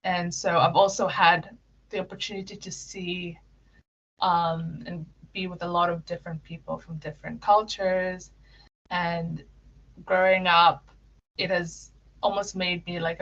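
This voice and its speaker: A young woman from Kenya chats through interview questions, laughing easily and tripping over her own words. Her voice sits a little low, and the recording sounds muffled and lo-fi. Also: fairly bright tone. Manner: giggly, many fillers and restarts. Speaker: interview guest. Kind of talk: casual interview answers.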